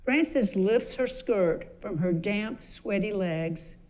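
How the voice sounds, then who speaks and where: A woman giving a deliberate, literary dramatic reading as a narrator of her own play. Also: mid-range voice, a little mellow.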